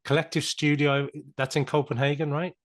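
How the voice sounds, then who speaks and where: A man's voice: on the high side, little pitch movement, a little fast. A man hosting a podcast interview, asking questions in a friendly, casual way. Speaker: podcast host.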